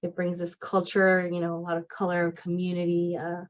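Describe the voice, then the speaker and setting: Low, flat-voiced woman panelist. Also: moderate pace. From Argentina. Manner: reflective, many fillers and restarts. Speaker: panel guest. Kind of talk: casual panel talk.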